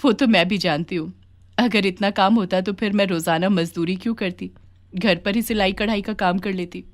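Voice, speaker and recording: A woman's voice: mid-range voice, moderate pace, natural voice. A woman voicing a kindly, hardworking village mother in a children's story, polite and affectionate. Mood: resigned. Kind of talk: acted dialogue in a children's moral story.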